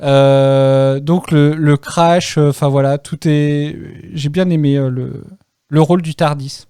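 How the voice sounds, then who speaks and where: A man hosting a casual group podcast, repeating himself and telling things in an animated, hesitant way. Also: on the high side, takes it slowly, clean tone, no echo, studio quality. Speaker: podcast host.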